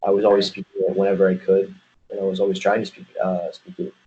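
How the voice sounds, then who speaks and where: A man's voice: sits low, monotone, fast talker, warm tone, muffled recording. An American man speaking casually as an interview guest, explaining things in a rambling way peppered with "you know". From United States.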